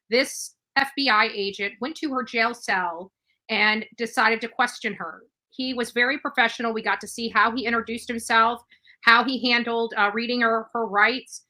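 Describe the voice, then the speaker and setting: Bright-voiced woman true crime commentator. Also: fairly high pitch, normal speed, poor audio. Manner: chatty, with fillers and restarts. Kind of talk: casual commentary.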